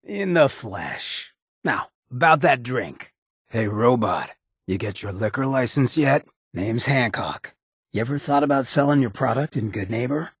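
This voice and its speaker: An actor playing a swaggering, sardonic ghoul gangster companion in a video game, with a gravelly rasp and a wry, streetwise drawl. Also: male voice, medium pitch, expressive, unhurried, no room sound, clean studio sound. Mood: wry, casual. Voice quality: gravelly, raspy.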